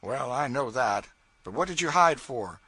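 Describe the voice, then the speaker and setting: A man voicing a stern but fair raftsman leader, gruff and commanding. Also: mid-range voice, expressive, moderate pace, bright, forceful tone, dry recording. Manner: gruff, commanding, then lenient.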